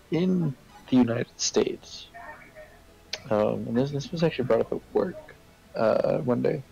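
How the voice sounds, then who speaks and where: A young man chats casually with others on a stream. His mid-pitched voice is husky and full of creak. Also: mellow, highs cut off. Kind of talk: casual chat.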